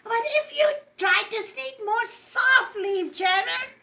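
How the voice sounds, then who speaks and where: Woman as a squeaky, very high storybook piggy. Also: takes it slowly, creaky, full character voice. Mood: concerned. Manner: exclaiming, questioning, loud and squeaky. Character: perky, caring young piggy, elephant's best friend. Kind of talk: children's storybook read-aloud.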